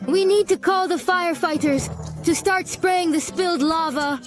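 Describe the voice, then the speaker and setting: A woman's voice: very high voice, normal speed. A woman voicing an eager, clever little child helper in a scripted children's cartoon. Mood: concerned. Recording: loud music behind the voice. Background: loud music.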